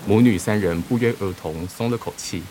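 Guy narrating a radio play, really low voice, scripted, little bit of hiss. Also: moderate pace, warm tone. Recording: faint background hiss.

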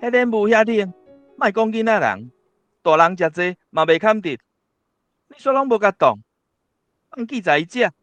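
A man's voice: high voice, sing-song, normal speed, pauses often, a bit bright, no echo, lo-fi sound, soft background music. An actor playing a displeased, scolding village father in a radio drama.

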